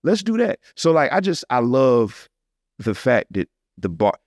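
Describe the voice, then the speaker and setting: A man's voice: mid-range voice, very animated, measured pace, darkish tone, no room sound, clean studio sound. A man talking casually as a podcast host, emphatic and slangy, often repeating his phrases for stress. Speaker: podcast host and music artist. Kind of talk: casual conversational podcast talk.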